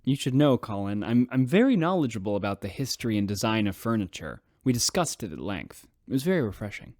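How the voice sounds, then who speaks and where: A man's voice: on the low side, sing-song, fast, a little mellow, dry recording, studio quality. An actor playing an eccentric male priest who rambles happily about furniture. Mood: chatty. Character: dotty elderly male priest, furniture-obsessed. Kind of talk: sci-fi radio drama.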